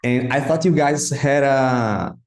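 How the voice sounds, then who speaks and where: Halting host, Portuguese native speaker. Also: male voice, medium pitch, echoey room. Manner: hesitant, halting with restarts. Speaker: podcast host. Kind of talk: interviewing a guest.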